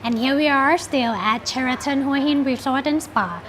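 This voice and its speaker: Female host, fairly high voice, polished narration with music behind. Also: moderate pace. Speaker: TV host and interviewer. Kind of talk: scripted narration and interview questions.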